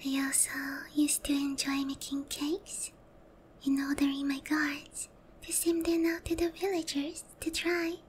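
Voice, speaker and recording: Woman acting a young female demon lord, very high-pitched, soft breathy whisper, slow halting delivery, gentle and remorseful, ASMR roleplay. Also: flat delivery, slight background noise. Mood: wistful. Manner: slow, tender, hesitant, with long pauses.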